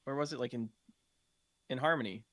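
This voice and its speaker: A man's voice: medium pitch, fairly quick, a bit bright, no room sound. A man chatting casually as a guest on a podcast. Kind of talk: casual chat.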